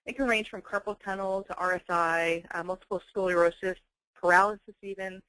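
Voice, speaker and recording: Lower-voiced woman presenting a webinar. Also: steady tone, brisk, fairly bright tone, dry recording, poor audio. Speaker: company presenter.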